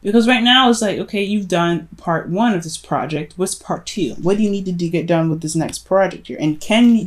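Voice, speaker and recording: A woman's voice: fairly low pitch, a little mellow. A woman doing a casual tarot reading, speaking straight to the listener in an earnest, encouraging way with emphatic repetition. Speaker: tarot reader. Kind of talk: casual spoken tarot reading addressed to the viewer.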